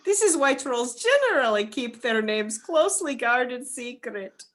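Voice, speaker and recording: Woman playing a timid, high-voiced cottage man. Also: sing-song, unhurried, fairly bright tone. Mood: wary. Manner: pleading, old-fashioned storybook phrasing. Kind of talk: amateur radio play.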